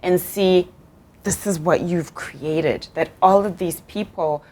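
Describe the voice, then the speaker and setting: A woman's voice: lowish voice, slow, a little mellow. A woman from Zimbabwe hosting an interview, effusive and encouraging, often laughing as she praises her guest. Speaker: host. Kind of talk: warm interview chat.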